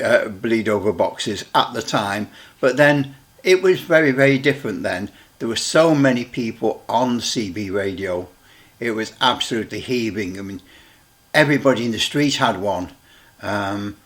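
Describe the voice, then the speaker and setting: A middle-aged or older man giving a casual hands-on demonstration, rambling a little, halting and often repeating himself. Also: lowish voice. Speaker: radio hobbyist presenter.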